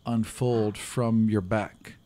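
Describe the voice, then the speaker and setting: A middle-aged man improvising narration as a tabletop game master, pausing often mid-sentence. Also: lowish voice, steady tone, mellow. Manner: halting, many pauses mid-sentence. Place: small room, around a table. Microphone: individual table microphone, close.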